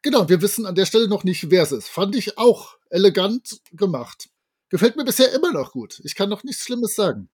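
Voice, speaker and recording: A man's voice: high voice, big pitch swings, a little fast, darkish tone, breathy edge. A man chatting casually as a podcast co-host, giving review comments in a wry, dryly ironic manner.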